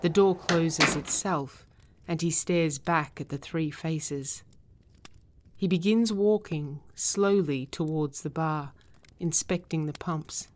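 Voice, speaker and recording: Low, measured female narrator. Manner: measured, descriptive. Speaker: narrator reading stage directions. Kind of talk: scripted narration.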